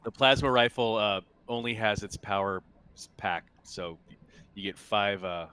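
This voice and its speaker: A man running a tabletop roleplaying game as game master, thinking aloud in a halting, unscripted way. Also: on the low side, sharp tone, smooth.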